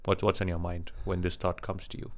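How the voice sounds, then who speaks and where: A man's voice: sits low, flat delivery, a little fast, vocal fry. A man hosting a casual, joking conversation in a teasing manner. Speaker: podcast host.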